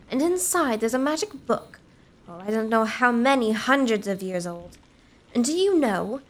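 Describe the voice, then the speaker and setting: A woman playing a theatrical, teasing young niece who relishes ghostly tales in a comic gothic audio drama. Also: high voice.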